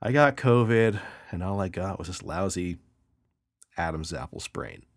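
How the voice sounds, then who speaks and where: Thirties male co-host, slightly nasal, lower register, animated casual talker. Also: measured pace. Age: 30s. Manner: animated, swearing, laughing, storytelling. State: slightly nasal from a sinus infection. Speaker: podcast co-host. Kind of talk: casual chat.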